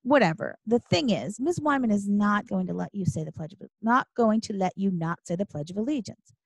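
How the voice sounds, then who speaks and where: A woman voicing a cautious, mild seventh-grade boy in an audiobook, reasonable and low-key in manner. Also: expressive, a little fast, creaky, no echo. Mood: resigned. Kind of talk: audiobook character dialogue.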